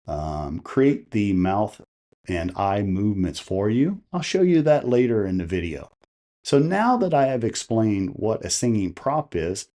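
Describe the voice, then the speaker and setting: A man's voice: mid-range voice, dark tone. A man hosting an instructional how-to video, explaining step by step and posing questions to the listener. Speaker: host of a how-to video on holiday light displays. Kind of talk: instructional tutorial narration.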